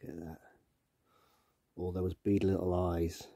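A man's voice: sits low, level tone, measured pace, dark tone, dry recording, studio quality. Easygoing male hiker on a trail. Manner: upbeat, easygoing, chatty with fillers. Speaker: hiking vlogger. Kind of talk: casual vlog narration to camera. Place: outdoors on a mountain trail. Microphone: action camera held at arm's length. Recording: clear outdoor noise behind.

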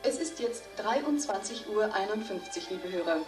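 An actress playing a polite late-night radio announcer giving a continuity announcement in a radio play. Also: female voice, medium pitch, lively intonation, normal speed, natural voice. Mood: polite, composed. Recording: distant echoey radio with loud music.

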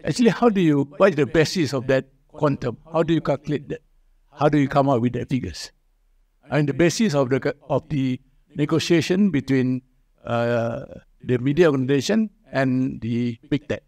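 Malaysian guy asking a question at a conference, medium pitch. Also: moderate pace, darkish tone. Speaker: audience questioner.

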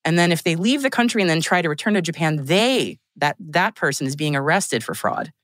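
A woman's voice: low voice, expressive, brisk, fairly bright tone, no echo. A woman guest on a podcast, chatting casually, laughing often and restarting her sentences. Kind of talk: casual chat.

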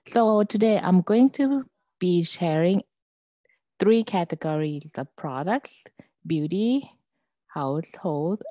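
Slow, low-voiced Thai-accented woman on a headset. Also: warm tone, dry recording. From Thailand. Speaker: product presenter. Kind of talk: video call presentation. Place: home room, indoors. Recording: dull lo-fi video call audio.

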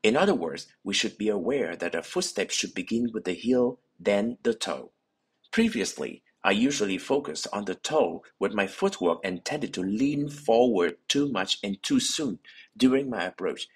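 Husky guy doing a how-to voice-over, low voice, steady explainer tone. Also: moderate pace. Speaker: bowling coach. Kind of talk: scripted instructional narration.

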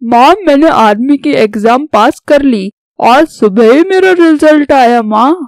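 A woman's voice: high-pitched, animated, a little slow, a little mellow, no echo. A woman voicing an earnest, patriotic young man in a cartoon story, speaking in declarations. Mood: eager. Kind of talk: scripted Hindi cartoon story dialogue.

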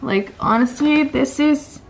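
A young woman chatting casually in a haul vlog on her living-room sofa, a little raspy from lack of sleep, gushing and laughing often. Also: sits high, slow. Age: young adult. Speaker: vlogger. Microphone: camera mic from a few feet away. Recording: roomy echo from camera distance.

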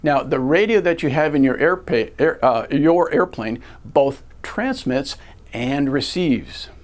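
Slow-paced American man teaching. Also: a little high, expressive. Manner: deliberate, step-by-step teacherly delivery. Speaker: flight school ground instructor. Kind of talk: instructional lecture to camera with rhetorical questions. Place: indoor video studio. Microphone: lavalier clipped to shirt.

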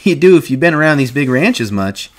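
A man voicing a talkative, excitable ranch hand in an audiobook, with brash, folksy rural chatter. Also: on the high side, fairly quick, pitched above the performer's own voice. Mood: knowing, teasing.

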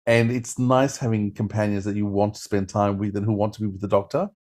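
A man's voice: on the low side, level tone, a little fast, a little mellow. A middle-aged man chatting enthusiastically as a podcast host, reviewing stories casually on a video call. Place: indoors at home.

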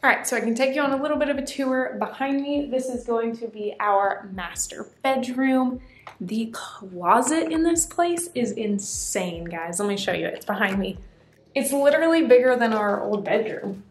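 A young woman giving a chatty, excited tour of an empty apartment, filming herself with a handheld camera. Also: a little high, moderate pace. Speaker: vlogger. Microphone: handheld camera at arm's length. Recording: echoey empty room with faint music.

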